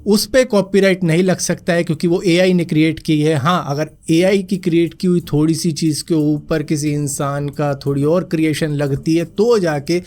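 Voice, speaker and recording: Hoarse chatty man, higher-pitched voice. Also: steady tone, moderate pace, few pauses, darkish tone. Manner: chatty, addresses viewers as friends. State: hoarse throat after fever. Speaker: news vlogger. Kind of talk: casual Hindi news roundup.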